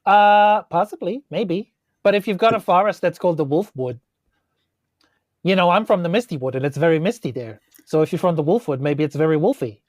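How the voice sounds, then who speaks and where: Man playing a rambling little old gnome, higher-pitched. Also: expressive, brisk, dry recording, studio quality. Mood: musing. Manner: rambling, defensive, tentative. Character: little forest gnome sorcerer, centuries old, rambling, artistic. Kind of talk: improvised tabletop role-play.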